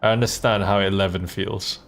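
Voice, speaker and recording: A man giving casual live game commentary as a streamer, talking in a halting, reflective way. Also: deep voice, fairly even intonation, vocal fry, a bit bright. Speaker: streamer and voice actor. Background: game music.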